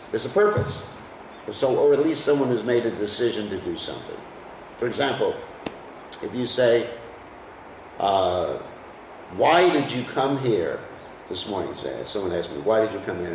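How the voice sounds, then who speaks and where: A man's voice: mid-range voice, echoey room, far from the mic, slight background noise, rough recording. An American man teaching a scripture class in a conversational way, often putting questions to his listeners. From United States. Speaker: lecturer on scripture.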